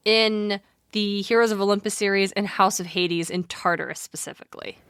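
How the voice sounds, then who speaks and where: A woman's voice: medium pitch, fairly even intonation, moderate pace, a bit bright, clear, resonant tone, no room sound, clean studio sound. A woman co-hosting a podcast, chatting casually and informally about mythology as she thinks aloud. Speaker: podcast co-host.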